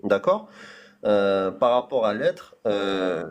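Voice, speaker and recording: A man's voice: on the low side, sing-song, highs cut off, slight background noise. Hesitant man, French not his first language. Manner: hesitant, many fillers, repeats words; insists on finishing, measured. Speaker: debater. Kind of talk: heated live debate.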